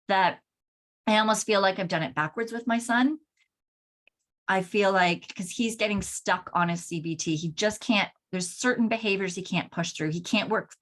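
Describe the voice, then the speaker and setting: A woman's voice: fairly low pitch, fairly bright tone. A woman in her forties hosting a solo podcast, talking candidly and earnestly about her own experience, often restarting her sentences. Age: 40s. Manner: candid, earnest, self-reflective, with frequent restarts. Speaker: podcast host.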